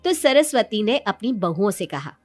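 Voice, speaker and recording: A woman's voice: mid-range voice, very animated, moderate pace, a little mellow. A woman narrating a scripted moral story in storybook style.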